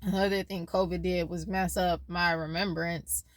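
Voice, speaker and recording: A woman in her late twenties talks to camera in a loose, wandering vlog, circling back and forgetting what she meant to say. Her voice sits a little low, with a worried, faith-leaning tone. The sound is close and dry, with no room around it. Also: level tone, moderate pace, no room sound. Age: 20s. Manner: rambling, loses her train of thought; worried, faith-filled musing. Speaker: vlogger. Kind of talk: casual personal vlog.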